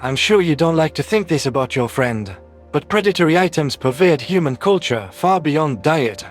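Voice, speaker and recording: An earnest alien medic acted by a man with a fairly high male voice. He speaks in an explanatory way for a science fiction audio story, over faint music. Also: expressive, moderate pace, close to an everyday voice. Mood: grave, explaining. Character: earnest male four-legged alien doctor.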